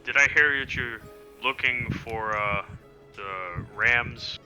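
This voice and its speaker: A man's voice: mid-range voice, slow pace, sharp tone, faint music behind, poor audio. A man chatting casually as a tabletop fantasy game player, hesitantly describing his moves. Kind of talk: casual roleplay chat.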